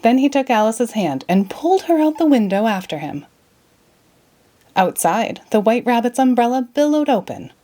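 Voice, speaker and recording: A woman narrating a children's storybook as a read-aloud, in an expressive way. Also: a little high, moderate pace.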